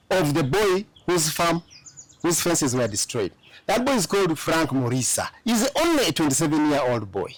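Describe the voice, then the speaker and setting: Male studio guest, lawyer, fairly high voice with lively, insistent delivery. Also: no echo, poor audio. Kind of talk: studio political talk show.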